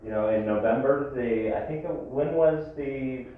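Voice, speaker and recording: A man presenting finances informally at a board meeting, explaining in a measured way with pauses. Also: mid-range voice. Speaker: health district staff presenting finances. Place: meeting room, distant microphone. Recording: tinny echoey room from far off, faint noise.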